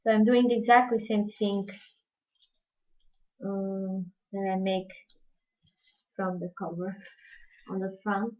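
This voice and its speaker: A woman's voice: mid-range voice, level tone, slow, long pauses. A woman from Portugal with a Portuguese accent, chatting casually with viewers while demonstrating a craft project on a live stream. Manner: chatty, answers viewer questions while working. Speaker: crafting tutorial host. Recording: dull lo-fi livestream audio.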